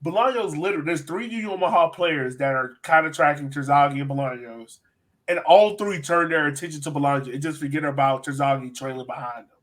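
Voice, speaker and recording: A man's voice: fairly high pitch, quick pace, a bit bright, rough recording. A man hosting a sports podcast, giving casual, opinionated match analysis in a conversational way.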